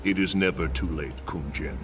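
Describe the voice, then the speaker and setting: A man's voice: low-pitched, steady tone, moderate pace, over music, lightly acted. A man playing a solemn, wise thunder god mentor in a video game, speaking with formal, grave diction. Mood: grave encouragement. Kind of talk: dramatic video game cutscene acting.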